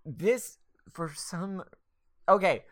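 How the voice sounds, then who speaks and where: A woman's voice: lowish voice, very slow. An American woman in her twenties co-hosting a casual comedic movie podcast, sarcastic and exasperated, with plenty of swearing. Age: 20s. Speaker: podcast co-host.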